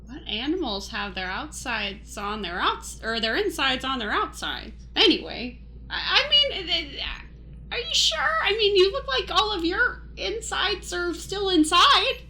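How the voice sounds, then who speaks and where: A woman's voice: extremely high pitch, sing-song, measured pace, pushed and bright, a little hiss or hum, full character voice. A woman playing a bewildered, average newcomer who asks hesitant, flustered questions in an improvised comic radio play. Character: bewildered, average, well-meaning newcomer Fred.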